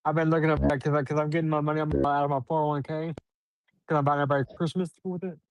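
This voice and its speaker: A woman's voice: sits low, flat delivery, rapid-fire, no room sound, muffled recording. A woman co-hosting a casual talk show, telling stories and acting out her own reactions. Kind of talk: casual chat.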